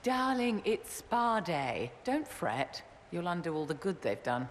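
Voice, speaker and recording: A woman's voice: lowish voice, big pitch swings, unhurried, in a large hall, a little hiss or hum. An actress playing a poised, condescending noblewoman mage with a silky manner. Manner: condescending, silky, says "my dear".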